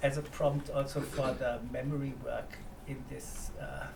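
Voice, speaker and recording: A man from Germany facilitating a writing workshop, talking informally and reflectively, haltingly posing questions to the group. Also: mid-range voice, big pitch swings. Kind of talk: informal spoken introduction to a workshop. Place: echoey room at a live workshop. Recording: echoey room, distant mic, clear background noise.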